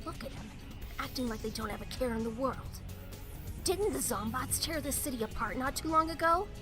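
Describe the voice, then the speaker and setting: Brash young villainess female actor, bright swagger in a high register, lively swings, cocky slang, comic-dub attitude. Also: brisk, over music. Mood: mischievous, sneering. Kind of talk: comic dub cartoon acting.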